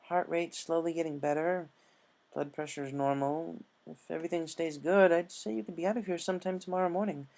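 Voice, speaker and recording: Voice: medium pitch, steady tone, fairly quick, a little mellow, voice pushed up, natural voice. A narrator as a matter-of-fact pony nurse. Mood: businesslike.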